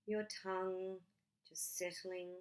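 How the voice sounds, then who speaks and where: A woman leading a guided relaxation meditation in a gentle, soothing way, leaving long pauses between short phrases. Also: lowish voice, flat delivery.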